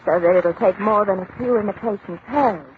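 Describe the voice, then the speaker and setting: A woman's voice: medium pitch, a little fast, close to an everyday voice. Self-possessed young actress, female performer, frank, courteous, good-humored. Vintage radio play with narrow, noisy sound. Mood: candid. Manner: polite, frank, composed. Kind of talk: old-time radio drama acting. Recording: tinny, noisy old radio recording.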